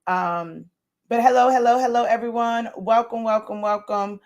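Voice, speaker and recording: A hoarse woman hosts a casual livestream, talking loosely to viewers as they join. Her voice is worn and scratchy, her speech full of fillers and little laughs. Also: a little high, fairly even intonation, moderate pace, highs cut off. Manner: warm greetings, frequent laughs and fillers. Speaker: host.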